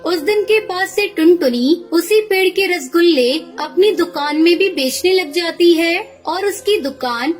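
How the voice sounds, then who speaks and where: A woman giving scripted narration of a children's moral story. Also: very high-pitched.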